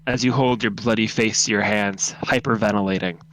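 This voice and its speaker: A man's voice: lowish voice, fairly even intonation, fairly quick, fairly bright tone, low-quality recording. A man narrating a second-person horror story in a dramatic, solemn, intense reading.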